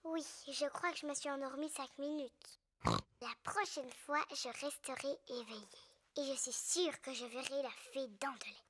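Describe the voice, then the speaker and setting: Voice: high-pitched, normal speed. A performer voicing a cheerful, bossy little girl piglet in a French cartoon dub. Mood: sleepy. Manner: excitable, giggly.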